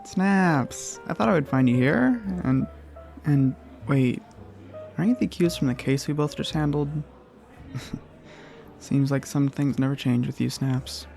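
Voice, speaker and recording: A man's voice: mid-range voice, a little slow, gravelly, long pauses, darkish tone, soft background music. A man playing a serious, mocking rival prosecutor in a roleplay audio drama. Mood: surprised, mocking.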